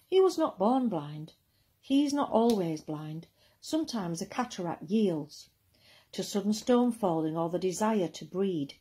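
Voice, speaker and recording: Female poetry reader, mellow and slightly husky, expressive recital delivery. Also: medium pitch.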